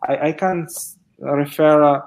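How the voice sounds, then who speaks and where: A man's voice: a little high, fairly even intonation, slow pace, gravelly, a little mellow. A middle-aged man answering audience questions on a video call, speaking hesitantly with many fillers and restarts. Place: indoors at home. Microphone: video call webcam.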